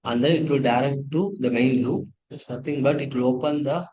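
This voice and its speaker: Male tutorial presenter, Indian accent, mid-pitched, stop-start delivery with repeats and self-corrections. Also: steady tone, dark tone, lo-fi sound. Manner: halting, repetitive, self-correcting. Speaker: presenter of an engineering project demo. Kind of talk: tutorial walkthrough, partly read from slides.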